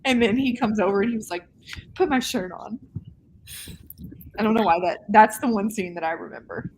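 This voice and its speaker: Medium-pitched female voice, casual co-host, conversational, faint background noise. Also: moderate pace, a little mellow, poor audio. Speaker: co-host steering the discussion. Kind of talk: casual group book chat.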